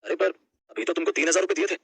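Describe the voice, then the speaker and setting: A young working husband played by a man in an animated storybook drama. His voice is very husky and bright, and he talks quickly. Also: high voice, no echo, heavily acted. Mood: exasperated. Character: young working husband, exasperated, strapped for money.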